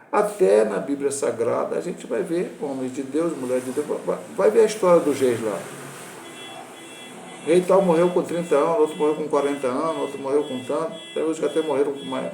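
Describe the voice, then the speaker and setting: A Brazilian man in his sixties, a pastor teaching a Bible lesson, talking in a halting way with restarts and self-corrections. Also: on the high side, expressive, vocal fry, a little mellow, roomy sound, a little hiss or hum, low-quality recording. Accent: Brazilian Portuguese. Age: 60s.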